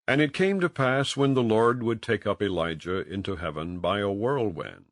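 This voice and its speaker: A man narrating scripture in a solemn, measured and declamatory way, like a scripted audiobook reading. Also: fairly low pitch, no room sound, clean studio sound. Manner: measured, declamatory, with archaic phrasing.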